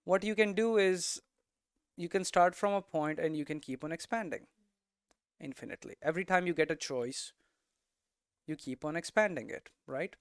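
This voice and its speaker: A man with a smooth, clear voice pitched slightly high gives an informal explainer. He thinks aloud in a conversational way, tagging his points with little check-ins, and the sound is close and dry. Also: normal speed, long pauses, dry recording. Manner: conversational, thinking aloud, frequent "you know" and "right?" tags. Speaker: game development streamer and teacher.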